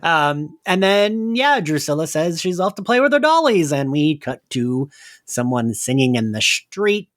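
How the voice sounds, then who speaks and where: A male podcast host in casual, chatty flow. His pitch swings widely as he retells scenes playfully, and the sound is dry and close. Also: a little slow, no room sound. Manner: animated, chatty, quotes lines in playful voices. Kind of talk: casual chat recapping a story.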